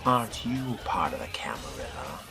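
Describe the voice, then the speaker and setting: A man role-playing a curious, laid-back fledgling vampire in a video game, low-key and inquisitive. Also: big pitch swings, normal speed, creaky, over music. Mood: cool, curious.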